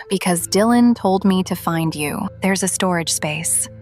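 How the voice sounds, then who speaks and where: A woman's voice: fairly low pitch, takes it slowly, faint music behind. A woman playing a wary, serious woman on the run in a neo-noir audio drama, speaking tersely. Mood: tense, wary.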